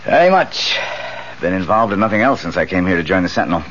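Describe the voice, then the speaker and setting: An actor playing an eager, talkative crime reporter in a 1970s radio drama. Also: male voice, sits low, big pitch swings, quick pace, a bit bright. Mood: keen, confident. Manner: talkative, hesitant, emphatic. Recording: dull, noisy 1970s radio recording.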